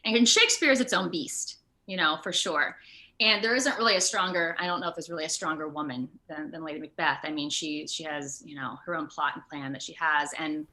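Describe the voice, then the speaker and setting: Bright-voiced woman chatting in an interview. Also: low voice, fairly quick, low-quality recording. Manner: animated, acts out quoted remarks. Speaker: interview guest, video game and voice actor. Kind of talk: casual interview chat.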